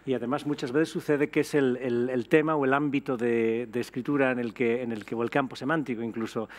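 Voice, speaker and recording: A man's voice: medium pitch, steady tone, a little fast. Middle-aged guy moderating, medium voice, chatty, on a stage panel. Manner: conversational, interjects with brief assent. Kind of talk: panel discussion. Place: auditorium stage before an audience.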